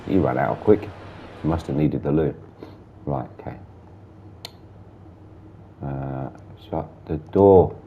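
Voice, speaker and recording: Man, very deep and gravelly, blocked-up and hoarse, casual muttering sim-driving commentary over a faint background hiss. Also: mellow. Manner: chatty, muttering through cab controls. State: sore throat, blocked nose. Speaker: gameplay streamer.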